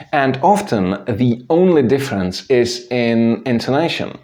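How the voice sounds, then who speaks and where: A man, narrating a scripted language lesson in a clear, explanatory way. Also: medium pitch. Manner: clear, explanatory, lively intonation. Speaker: language teacher.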